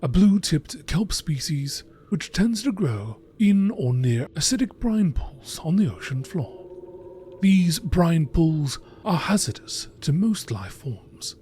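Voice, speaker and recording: A man's voice: a little high, big pitch swings, slow pace, dark tone. A man reading scripted narration in the style of a nature documentary. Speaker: narrator reading game lore entries. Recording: clearly noisy background ambience.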